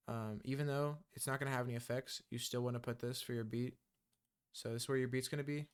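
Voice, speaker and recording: A man walks through a beginner software tutorial in a relaxed, rambling way. He fills his sentences with ums and uhs. His voice is smooth and resonant, sitting at an ordinary middle pitch. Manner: loose and chatty, many ums and uhs. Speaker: music production tutor. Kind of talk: casual screen-recorded software tutorial.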